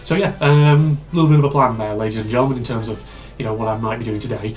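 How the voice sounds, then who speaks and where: Chatty man, slightly hoarse. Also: mid-range voice, quick pace, a little mellow. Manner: rambling, chatty, many fillers and asides. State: slightly hoarse and congested with a cold. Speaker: reaction video host. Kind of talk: casual reaction commentary.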